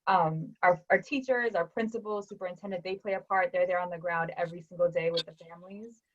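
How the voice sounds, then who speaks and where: A woman's voice: fairly low pitch, fairly even intonation, fast. A woman school board candidate and founding principal giving an earnest closing statement over a video call. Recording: dull lo-fi sound.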